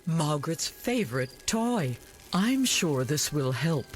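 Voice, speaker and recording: An actress playing an older village wise woman in a video game, caring and earnest, giving measured instructions. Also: sits low, big pitch swings, full character voice. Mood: earnest, urgent. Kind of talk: scripted video game dialogue. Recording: music behind the voice.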